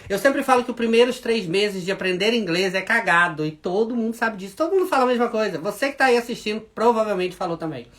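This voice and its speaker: A man's voice: high-pitched, fast talker, few pauses. A Brazilian man with a Brazilian Portuguese accent, hosting a casual live stream from the UK and chatting with his viewers in Portuguese and accented English. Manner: chatty, animated, addresses viewers as "gente". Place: home in the UK.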